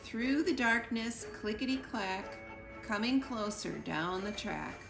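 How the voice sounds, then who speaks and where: A woman reading a children's picture book aloud in an expressive storytime style, with deliberate, playful emphasis on the rhyming words. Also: medium pitch, creaky. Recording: roomy echo with music behind. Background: music.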